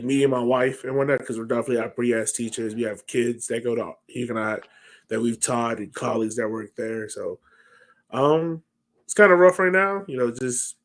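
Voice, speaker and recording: Easygoing American man hosting a podcast. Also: mid-range voice. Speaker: podcast host. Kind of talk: casual sports podcast chat. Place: home room, indoors, on a video call.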